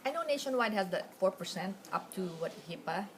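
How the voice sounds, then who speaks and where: A woman's voice: medium pitch, expressive, brisk. A woman in the audience asks brief follow-up questions. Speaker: audience member asking questions. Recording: noisy room sound.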